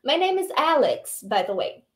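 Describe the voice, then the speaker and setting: A young Brazilian woman teaching English online from a small room, lively and encouraging, reading the English lines out slowly. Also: high-pitched. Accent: Brazilian Portuguese. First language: Portuguese.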